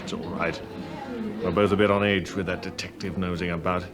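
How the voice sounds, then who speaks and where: A man's voice: sits low, flat delivery, fairly quick, lightly acted. Terse, scheming Englishman over music, an actor's role. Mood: persuasive. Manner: terse, commanding, edgy. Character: scheming, controlling, well-off husband under pressure. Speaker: actor in a radio play. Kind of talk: British radio drama acting.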